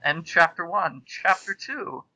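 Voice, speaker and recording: A man's voice: a little high, sing-song, measured pace, pushed and bright, dry recording, poor audio. A man narrating amateur fan fiction in a theatrical, amused way. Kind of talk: comic dramatic reading of amateur fan fiction.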